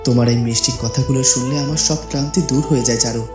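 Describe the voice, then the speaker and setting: A man acts a romantic young cartoon prince. He speaks tenderly and reassuringly at a medium pitch. The track is roomy, with music underneath. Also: fairly even intonation, fast, close to an everyday voice. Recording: roomy echo with music behind.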